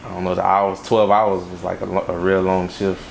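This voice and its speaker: Low gravelly laid-back young man. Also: little pitch movement, a little fast, a little hiss or hum, rough recording. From Los Angeles, raised in Baton Rouge, Louisiana. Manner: laid-back, many "like" fillers and restarts. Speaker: music video director, interviewee. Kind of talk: casual interview answers.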